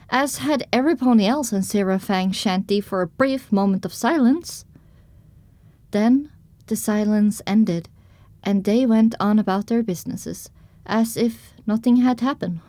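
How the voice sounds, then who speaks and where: A woman reading scripted first-person story narration for an audio drama, with expressive storytelling delivery. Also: on the high side, no echo.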